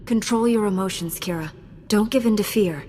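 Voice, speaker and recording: A woman's voice: mid-range voice, normal speed, dark tone, slight background noise, lightly acted. An actress playing a hard, vengeful female Jedi knight in a video game, curt and cold. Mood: stern. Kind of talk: video game cutscene acting. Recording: long hall reverb, music behind.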